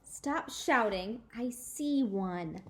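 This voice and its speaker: A woman's voice: fairly high pitch, lively intonation, extremely slow, heavy background noise. A woman reading the part of an opinionated little girl in a storybook, earnest and matter-of-fact. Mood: advising.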